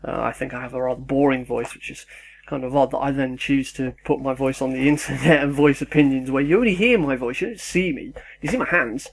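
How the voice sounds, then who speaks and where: A man's voice: medium pitch, a little fast, creaky. A young man, rambling casually and self-deprecatingly in his home kitchen as a YouTuber. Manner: chatty, sidetracked, self-deprecating.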